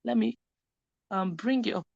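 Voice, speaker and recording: A woman's voice: mid-range voice, moderate pace, vocal fry, a little mellow, dry recording. A woman hosting a live stream, commenting in a chatty way that speaks straight to her viewers. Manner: chatty, talks to viewers directly. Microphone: computer mic. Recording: dry, dull lo-fi sound.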